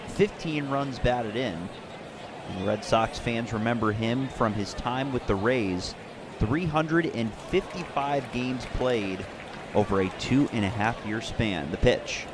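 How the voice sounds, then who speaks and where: A man calling baseball play-by-play in a descriptive, measured radio broadcast style. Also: mid-range voice, background noise. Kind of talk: live radio sports commentary with sponsor reads.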